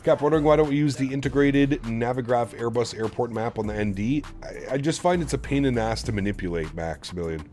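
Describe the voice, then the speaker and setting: A man's voice: mid-range voice, fast, few pauses, a little mellow. An easygoing, chummy man chatting casually with viewers as a flight sim streamer. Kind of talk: casual livestream chat with viewers. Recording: music in the background. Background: background music.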